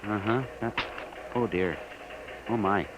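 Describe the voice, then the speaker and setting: An actor playing an earnest, easily flustered bureau clerk in a radio drama, with hesitant, muttering speech. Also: male voice, on the low side, slow pace. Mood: sheepish. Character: fussy, earnest, excitable male missing persons bureau clerk. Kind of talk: old-time radio drama acting. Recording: muffled, lightly noisy old radio recording.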